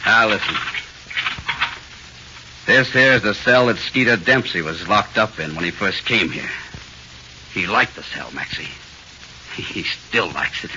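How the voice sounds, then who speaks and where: A muffled, hissy 1940s radio recording carries a sadistic prison cop. His voice is low and very hard-edged, gloating and sneering as he taunts in classic radio horror style. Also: animated, takes it slowly, pauses often, pushed and bright, exaggerated voice. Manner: sneering, taunting, chuckling menace. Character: sadistic, gloating prison policeman, tough and mocking.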